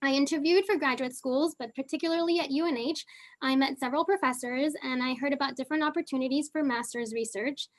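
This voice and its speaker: Young woman, from Argentina, Southern California accent, high voice, casual panel talk, lots of fillers. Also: fast. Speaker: panelist, geochemist.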